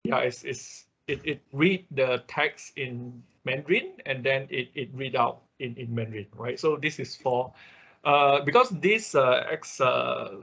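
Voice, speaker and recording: Bright-voiced man teaching, dull call audio. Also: medium pitch, a little slow, gravelly, husky. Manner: frequent confirming tags like "right" and "okay". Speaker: instructor leading an online robotics class. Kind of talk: casual step-by-step technical explanation. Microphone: video call.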